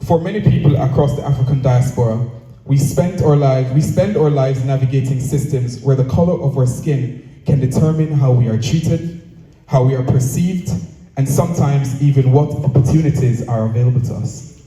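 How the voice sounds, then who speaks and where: Male Jamaican orator, deliberate rhetorical delivery, deeply soft-edged warm tone, mid pitch, recorded in a reverberant venue. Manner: measured, rhetorical, deliberate phrasing with repetition. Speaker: association president giving a speech. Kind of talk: prepared public speech. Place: speaking at a live event, room echo. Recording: echoey venue, distant microphone.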